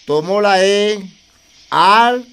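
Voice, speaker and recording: Gruff, high-voiced Venezuelan colonel husband. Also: male voice, slow, fairly bright tone, slight background noise, full character voice. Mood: musing, coaxing. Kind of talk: radio drama acting.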